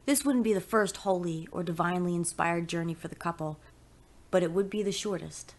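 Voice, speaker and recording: A woman narrates pulp fiction from a script. Her voice sits fairly low as she reads the prose of the story. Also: fairly quick.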